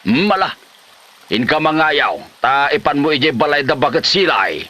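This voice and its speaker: A man's voice: fairly high pitch, animated, a little slow, bright, forceful tone. A man playing an exasperated older village father figure who scolds and exclaims in a comedy drama. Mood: scolding.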